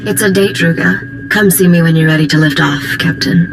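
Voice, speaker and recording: A woman's voice: lowish voice, fairly quick, music in the background, close to an everyday voice. An actress playing a breezy, self-assured female starship mechanic in a video game, playful, flirtatious and poised. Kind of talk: video game character acting. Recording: long reverb, faint background noise.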